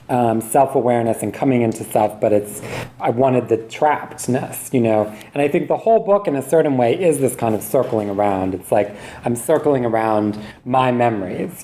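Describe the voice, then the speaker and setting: A woman's voice: a bass voice, dark tone. A woman author talking candidly about writing at a live event, thinking aloud in a meandering, emphatic way with frequent asides. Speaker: author speaking at a reading. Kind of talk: candid question-and-answer talk about writing. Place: university reading event, live before listeners. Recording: noticeable background noise, live event recording.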